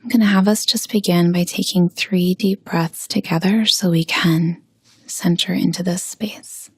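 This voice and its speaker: A woman leading a guided meditation with gentle spoken instruction, pausing slowly and soothingly in a breathy, husky voice. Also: fairly low pitch, fairly even intonation, no echo.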